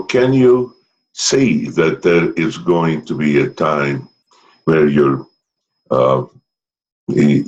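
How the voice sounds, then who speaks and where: A man working as a speech therapist, asking his client slow, probing questions with occasional hesitations. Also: very deep voice, darkish tone. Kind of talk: interview questions. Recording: dull lo-fi recording.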